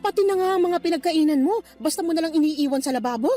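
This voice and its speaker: A woman's voice: high-pitched, animated, fast, warm tone, soft background music. An actress playing a suspicious, scolding mother of a grown son in a Filipino radio drama, nagging and accusatory. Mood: accusing, nagging.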